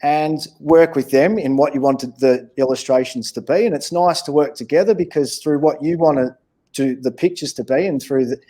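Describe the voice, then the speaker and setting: A man's voice: mid-range voice, warm tone. A man with an Australian accent answering questions in a casual, encouraging, plain-spoken way as a children's author. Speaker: children's author, interview guest.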